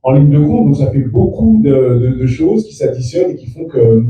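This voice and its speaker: A man, a native Fula speaker, answering press questions as an actor in a halting way with many restarts. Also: mid-range voice, lively intonation, muffled recording. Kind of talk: press interview. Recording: echoey room from far off.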